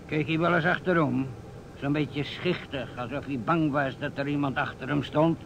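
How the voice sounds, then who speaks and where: A man's voice: fairly high pitch, fairly even intonation, moderate pace, creaky, a bit bright, highs cut off. A man acting a persistent, businesslike police inspector in a Dutch radio play, asking probing questions. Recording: old radio recording, faint noise.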